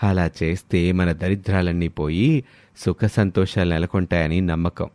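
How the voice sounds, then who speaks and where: A man playing a kindly, measured middle-aged village father in a moral story. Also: low-pitched, darkish tone, no room sound. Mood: gently instructive.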